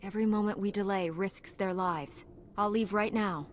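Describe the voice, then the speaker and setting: An actress playing a noble, resolute knight in a video game, speaking formally and solemnly. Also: female voice, mid-range voice, fairly even intonation, moderate pace, slight background noise, close to an everyday voice. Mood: grave, probing.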